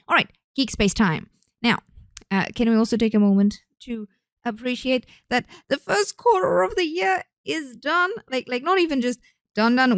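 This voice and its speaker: A woman's voice: fairly high pitch, dry recording. Chatty Australian woman podcaster, dry sound. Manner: chatty, rambling, with frequent fillers and self-corrections. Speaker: podcast host and speech therapist. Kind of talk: casual solo podcast talk.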